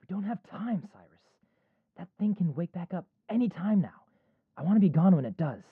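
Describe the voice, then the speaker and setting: A man playing an impatient, sardonic young fighter with a rough, husky voice in an animated adventure. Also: high-pitched, a little fast, dry recording, narrow, tinny audio, exaggerated voice. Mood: impatient, urgent.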